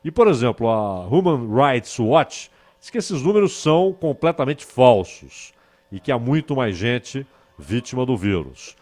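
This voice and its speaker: A male radio host with a Brazilian accent conducts an interview. His voice sits at a medium pitch. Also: very animated, a little slow, a little mellow.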